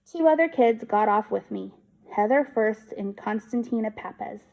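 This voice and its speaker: A woman teacher reading a children's book aloud in an expressive storytelling style. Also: medium pitch, normal speed, creaky, poor audio.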